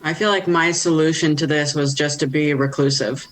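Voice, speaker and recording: A woman's voice: low voice, steady tone, normal speed. A woman guest in an interview, telling her story in a wry, self-mocking way. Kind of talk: candid interview answers.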